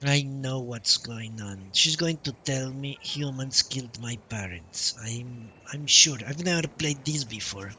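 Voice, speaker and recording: A man doing casual live commentary as a game streamer, rambling in halting, broken-up phrases. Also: medium pitch, pushed and bright, slight background noise. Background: game music.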